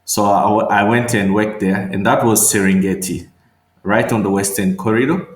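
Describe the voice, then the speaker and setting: A middle-aged man from Tanzania, a medical doctor and regional manager, answering interview questions slowly and thoughtfully, with many fillers and repeated words. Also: fairly low pitch, fairly even intonation, reverberant.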